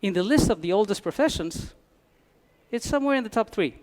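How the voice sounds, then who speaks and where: Man, high-pitched voice, conference talk, dry humor, restarts phrases. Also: animated, fairly quick, darkish tone. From Albania. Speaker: conference speaker. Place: conference hall.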